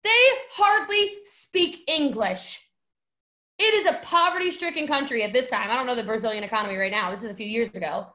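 A woman's voice: a little high, very fast, few pauses, sharp tone. A young woman telling a personal story in an emphatic, dramatic, slangy way, as a podcast guest on a video call from home. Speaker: podcast guest, network marketing entrepreneur.

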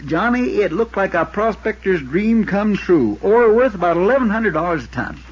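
A man's voice: sits high, very animated, husky, heavily acted. Folksy insurance man, muffled old radio. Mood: earnest, animated. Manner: folksy, drawn-out storytelling. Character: folksy, long-winded small-town Arizona insurance agent. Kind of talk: 1950s radio drama acting. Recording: muffled 1950s radio recording.

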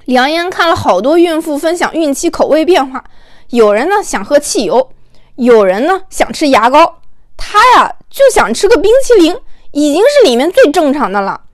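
A woman tells a story as an audiobook narrator, her voice very high. Her delivery is expressive and conversational, recorded close and dry. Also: moderate pace, no room sound.

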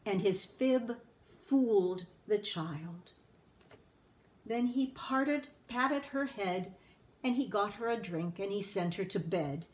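A woman in her sixties reading a children's storybook aloud expressively, deliberately and with emphatic pauses. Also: mid-range voice, expressive, low-quality recording. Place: living room at home.

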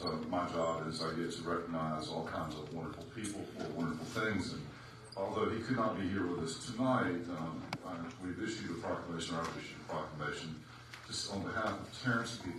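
Deep-voiced man chairing a council meeting. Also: noisy background. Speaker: mayor presiding over council meeting. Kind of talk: formal meeting chair remarks. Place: city council chamber. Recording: echoey chamber from far off.

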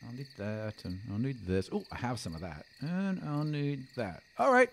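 A man voicing a confident, kindly young elf woman with a brisk, self-assured way of talking. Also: medium pitch, sing-song, background noise. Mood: brisk, businesslike.

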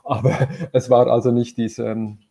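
A man hosting a video call from a room at home, talking with many fillers and pauses as he searches for words. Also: mid-range voice, steady tone, gravelly, dark tone. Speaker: host. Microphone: video call webcam.

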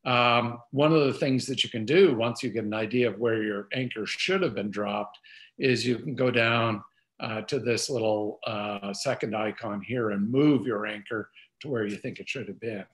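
A man's voice: fairly low pitch, normal speed, a bit bright. An older man giving an informal, explanatory webinar product demonstration over a video call. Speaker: presenter.

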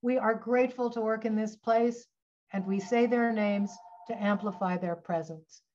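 A woman's voice: medium pitch, fairly even intonation, moderate pace, darkish tone, a little hiss or hum. Older woman host, dull video-call sound. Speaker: host introducing a performance. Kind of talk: prepared introduction. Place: home office on a video call.

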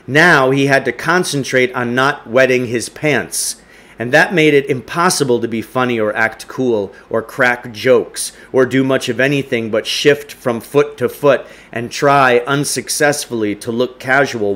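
A man's voice: mid-range voice, unhurried, a bit bright. A middle-aged man reads a story aloud in a home living room. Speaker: teacher reading aloud. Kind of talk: audiobook-style story reading. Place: indoors, home living room with wood stove.

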